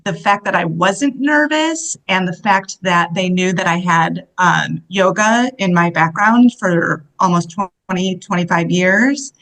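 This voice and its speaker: Animated, laughing woman in her fifties. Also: fairly low pitch, measured pace, few pauses. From United States. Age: 50s. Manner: animated, laughs often while telling stories. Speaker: guest, solo travel coach. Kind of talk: casual interview storytelling.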